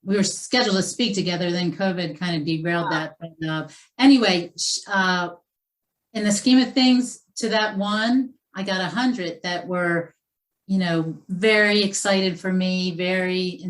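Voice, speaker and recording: Halting, lowish-voiced woman author. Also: low-quality recording. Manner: halting, with many "uh" pauses. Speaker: author guest. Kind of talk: casual interview chat.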